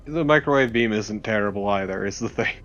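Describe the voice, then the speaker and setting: A man's voice: on the low side, brisk, fairly bright tone, highs cut off. A man co-commentating on a video game in a conversational, blunt and opinionated way. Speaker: let's play co-commentator. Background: game music.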